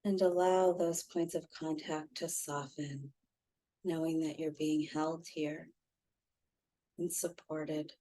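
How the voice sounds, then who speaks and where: Female meditation guide, low and dark-toned, soothing, with spacious pauses. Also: flat delivery, poor audio. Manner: soft, soothing, gently instructive with long pauses. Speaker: meditation guide, intuitive healer.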